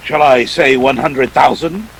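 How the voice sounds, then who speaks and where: A man's voice: medium pitch, measured pace, slight background noise, natural voice, rough recording. A man playing a suave, ruthless treasure-hunting villain in a radio play, with pompous, courtly speech and sly chuckles. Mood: genial, scheming. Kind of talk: 1940s radio play acting.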